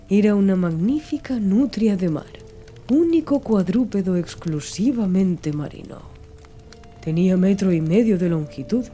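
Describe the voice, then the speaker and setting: A woman reading a literary audiobook in a measured, clearly phrased storytelling manner. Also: mid-range voice, dark tone. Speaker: audiobook narrator. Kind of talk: scripted literary audiobook narration. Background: background music.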